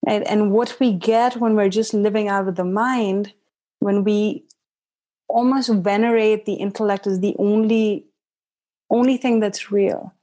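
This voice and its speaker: A woman's voice: medium pitch, fairly even intonation, mellow. A woman from India, a guest on a podcast, talking casually and reflectively with lots of "like" and easy laughter. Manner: reflective and unhurried, full of "like", laughs easily. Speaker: podcast guest, healing facilitator.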